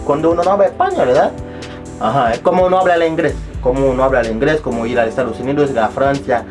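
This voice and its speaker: A man's voice: mid-range voice, quick pace, over music. A young man speaking Spanish as a second language, telling a casual story with rambling restarts and self-mocking asides. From abroad, not Chile. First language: not Spanish. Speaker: vlogger.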